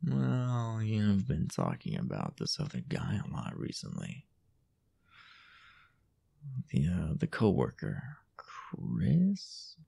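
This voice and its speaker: A man performs a teasing, possessive young boyfriend in intimate roleplay audio. His low voice is husky and breathy, swinging widely between murmur and lift. He coaxes coyly, with hesitant pauses and a dominant edge. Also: huge pitch swings, mellow, exaggerated voice. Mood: hesitant, jealous. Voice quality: husky, gravelly, often breathy or whispered. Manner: hesitant, coy, coaxing murmur with drawn-out pauses.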